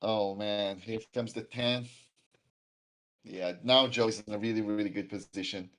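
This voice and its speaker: A man's voice: lowish voice, steady tone, a little slow, a bit bright. A man giving live color commentary on a card game match, chatty and agreeable. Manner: chatty, agreeable, frequent fillers.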